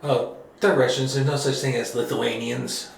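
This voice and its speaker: A man hosting a casual political podcast conversation, relaxed and agreeable, with lots of filler words. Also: mid-range voice, normal speed, gravelly. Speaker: podcast host. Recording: echoey room with faint noise.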